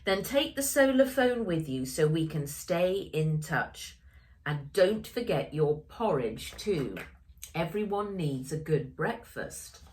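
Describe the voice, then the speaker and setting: A woman's voice: sits low, big pitch swings, slow pace, darkish tone, full character voice. Woman reading a fussing old storybook grandpa. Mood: caring. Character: kindly, fretful old grandpa on a farm.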